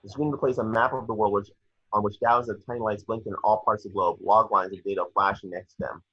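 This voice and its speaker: A man's voice: lowish voice, steady tone, quick pace, a bit bright, husky. A man narrating scripted action descriptions at an amateur table read, on a slightly dull home recording. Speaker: reader of stage directions.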